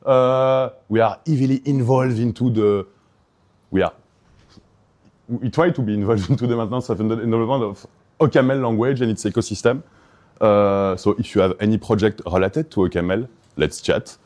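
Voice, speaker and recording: A man's voice: fairly low pitch, fairly quick, a little mellow. A man from Belgium with a Belgian French accent, giving an enthusiastic, hesitant conference talk full of fillers and restarts. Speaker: conference speaker.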